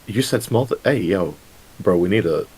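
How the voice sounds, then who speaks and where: Low, hoarse man with cocky trash talk. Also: expressive, moderate pace, darkish tone, low-quality recording. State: hoarse and sick. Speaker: tag team champion.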